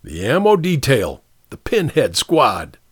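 A man's voice: medium pitch, huge pitch swings, slow, slightly rough, dry recording, clean studio sound, pitched above the performer's own voice, heavily acted. A man voicing a strict, short-tempered, proud American army captain in an audiobook, giving clipped orders. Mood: stern, irritated. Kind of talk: audiobook character dialogue.

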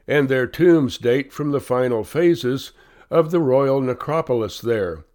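A male volunteer reader with a cold, reading magazine articles aloud slowly and carefully. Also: mid-range voice, a little mellow. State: has a cold.

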